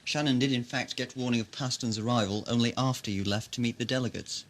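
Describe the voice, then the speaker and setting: An actor playing a cautious, reasonable underling to a tyrant in an audio drama, speaking respectfully and with care. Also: male voice, mid-range voice, fairly even intonation, natural voice. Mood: deferential. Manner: respectful, careful, measured.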